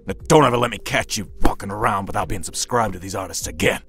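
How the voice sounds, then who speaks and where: A man's voice: on the low side, quick pace, fairly bright tone, faint music behind, close to an everyday voice. A man playing a hot-tempered, sarcastic mobster in a cartoon audio drama. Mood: pushy, threatening.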